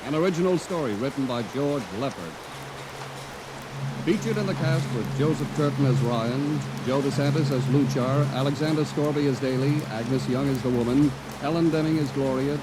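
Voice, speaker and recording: Man, 1950s radio announcer, formal, measured, old broadcast with heavy rain noise. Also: mid-range voice.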